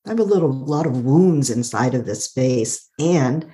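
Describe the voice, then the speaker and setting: A middle-aged woman with a calm, soothing voice, thoughtfully telling her life story as an interview guest and laughing often. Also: extremely low pitch, normal speed. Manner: warm, thoughtful, laughs often, uses "like" a lot. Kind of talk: reflective personal storytelling.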